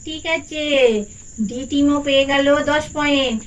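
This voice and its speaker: A performer voicing an encouraging aunt doll who hosts a children's quiz in a puppet story, reading questions deliberately. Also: sits high, fairly even intonation, a bit bright, lo-fi sound, slight background noise. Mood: encouraging, brisk. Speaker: quiz host.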